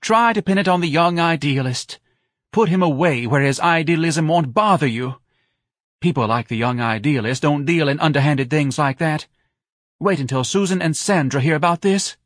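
A man's voice: moderate pace, dry recording, clean studio sound, natural voice. A man voicing an overdramatic, self-pitying middle-aged wife who wails and sobs in an audiobook. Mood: moaning, indignant. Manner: shrill, sobbing, melodramatic wailing. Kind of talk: audiobook character voice.

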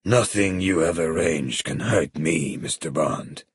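A man playing a crime boss villain from a spy audiobook. His voice is very low and unhurried, cold and commanding, with a soft, deliberate menace in every phrase. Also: husky, dry recording, studio quality, full character voice.

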